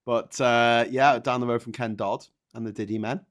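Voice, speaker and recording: A middle-aged man with a Liverpool accent talks in casual banter on an online panel. He is wry and sweary, telling anecdotes and cracking jokes in a mid-pitched voice. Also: steady tone, normal speed. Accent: Liverpool English. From Liverpool, England. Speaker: panelist on a live online chat show.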